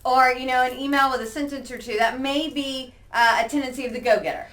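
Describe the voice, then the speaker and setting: A woman's voice: high-pitched, quick pace, fairly bright tone. A woman hosting a conversational business tips discussion, prompting with questions and recapping the points. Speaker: host.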